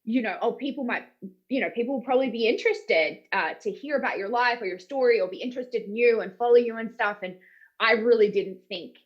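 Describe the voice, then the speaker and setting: A woman's voice: on the high side, a little fast, lo-fi sound. An Australian woman, a voice actor, talking in a casual, informal, rambling way. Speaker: voice actor being interviewed. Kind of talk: casual chat.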